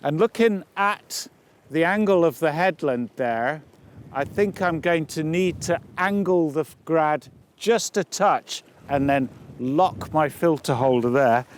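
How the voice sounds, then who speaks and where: Man, slightly high-voiced, presenting outdoors. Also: takes it slowly, slight background noise. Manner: thinking aloud while demonstrating. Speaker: photography presenter. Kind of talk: instructional talk to camera, unscripted. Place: outdoors on location.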